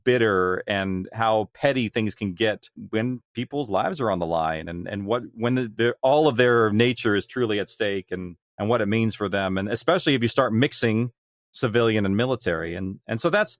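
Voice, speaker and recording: A man's voice: lowish voice, no room sound, clean studio sound. A man being interviewed as a guest, talking conversationally about his creative work. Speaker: guest, audio drama creator.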